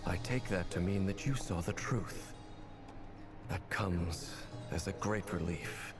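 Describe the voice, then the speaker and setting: A man's voice: deep voice, slow, music in the background. An actor playing a grave, dutiful guardian man in a game cutscene, speaking formally and with restraint. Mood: earnest. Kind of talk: scripted game cutscene acting.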